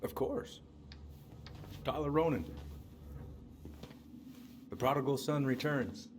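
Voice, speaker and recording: An actor playing a middle-aged small-town police chief and uncle in a video game, folksy, measured and paternal. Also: male voice, medium pitch, big-room sound, natural voice. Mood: friendly. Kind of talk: naturalistic video game acting.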